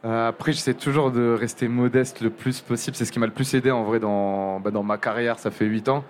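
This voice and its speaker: A young man speaking casually as an interview guest, halting and restarting often, with many fillers. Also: medium pitch, steady tone, slight background noise. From Montpellier area. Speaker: journalist guest.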